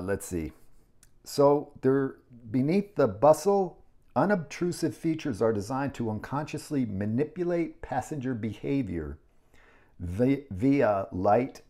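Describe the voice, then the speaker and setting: An American man in his sixties talks casually to camera in a lowish, mellow voice. He reads aloud haltingly, then wanders off into rambling asides of his own. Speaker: vlogger. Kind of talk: casual commentary while reading an article aloud. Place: indoors in a small home kitchen.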